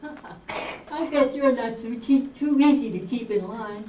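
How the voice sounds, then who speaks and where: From a distance in an echoey room, a woman plays a teasing Southern temptress for radio. Her voice is dark and mellow, a bit high, and flirtatious. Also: takes it slowly. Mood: flirty, teasing. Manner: smooth-talking, teasing. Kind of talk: 1950s radio noir acting on stage. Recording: echoey room, distant mic, background noise.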